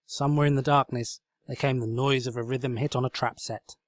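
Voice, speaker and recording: A man's voice: medium pitch, fairly even intonation, brisk, no room sound, studio quality. A man reading scripted comic fan fiction as the narrator. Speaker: story reader.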